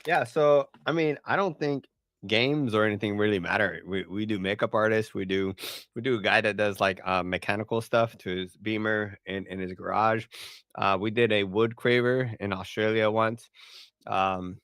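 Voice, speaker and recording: A man's voice: on the low side, steady tone, moderate pace, fairly bright tone, no echo, studio quality. A man from Puerto Rico, speaking English as a later language, answering questions on a livestream in a loose, chatty way with many fillers and restarts. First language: Spanish. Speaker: partnerships director. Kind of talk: casual livestream Q&A.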